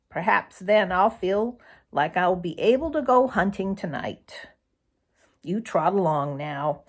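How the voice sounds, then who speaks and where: An aging granny fox from a children's storybook, played by a woman reader. She is sharp yet kindly, a little weary, and talks slowly in folksy phrases. Her pitch rises and falls freely. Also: exaggerated voice. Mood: weary, resigned. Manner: gently scolding, folksy, old-fashioned phrasing.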